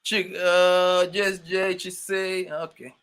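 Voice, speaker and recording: A man's voice: high voice, flat delivery, slow pace, a bit bright, smooth. A young man with a New York accent hosting a casual livestream, joking loosely and calling out chat viewers by name. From born in Colombia, raised in Brooklyn, New York. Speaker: livestream host.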